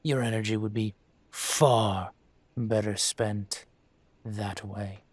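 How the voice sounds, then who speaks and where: Give the part of a dragon mafia boss to a man acting it out in an intimate audio drama. His voice sits fairly low and he speaks very slowly, measured and suave. He is patient, condescending and quietly menacing, with a teasing edge, in a dry, echo-free recording. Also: fairly even intonation. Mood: stern, condescending. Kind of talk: scripted audio roleplay, intimate.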